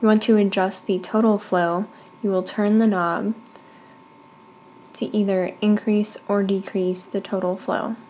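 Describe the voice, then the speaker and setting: A woman's voice: mid-range voice, flat delivery, measured pace, warm tone, dull audio, a little hiss or hum. A woman trainer giving a step-by-step instructional demonstration of equipment.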